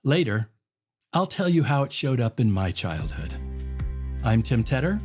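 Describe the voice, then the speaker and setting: A man hosts a podcast, reading his scripted opening in an earnest, measured way. His voice moves a lot in pitch, never flat. Soft music sits quietly behind him. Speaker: podcast host.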